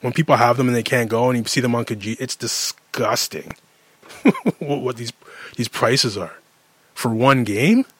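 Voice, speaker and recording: Canadian male, late 30s, sports talk host. Emphatic, fed-up, conversational, repeats himself for punch. Average pitch, dry sound. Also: expressive, moderate pace, breathy edge, no echo. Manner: exasperated, emphatic, repeats phrases for effect. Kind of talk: casual sports rant monologue.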